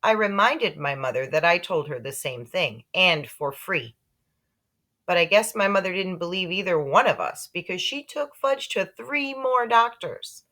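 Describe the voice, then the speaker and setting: A woman reading a children's book aloud in an expressive storytelling style. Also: fairly low pitch, unhurried. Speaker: story reader.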